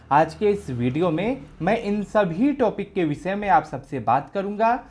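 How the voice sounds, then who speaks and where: A man presents an informational explainer for exam candidates. He works through each point in order as a video host. He often restates what he has just said so that it is clear. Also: high-pitched, a little mellow.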